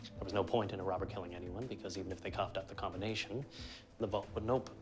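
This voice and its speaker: An actor as a defensive, anxious bank manager in a period TV drama. He talks fast and formally in a low male voice. Music is clearly heard behind him. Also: fairly even intonation, natural voice. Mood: anxious confession. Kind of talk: television period crime drama acting.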